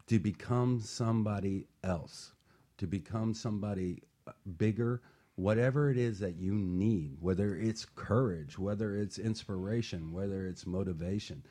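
Low, mellow-voiced male life coach. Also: moderate pace. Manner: earnest, encouraging, uses examples. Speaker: life coach and show host. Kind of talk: motivational talk-show chat.

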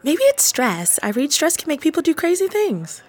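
A young woman acting in a radio drama as a blunt, protective teenage high school girl who questions her friends emphatically. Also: very animated, moderate pace. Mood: teasing, supportive.

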